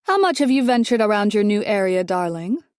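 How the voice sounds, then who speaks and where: Audiobook figure: a woman voicing a haughty wealthy older woman, pitched up, syrupy and condescending. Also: very animated, brisk, darkish tone, no echo, studio quality, lightly acted. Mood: sweetly condescending. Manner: sugary, condescending, says dear and darling.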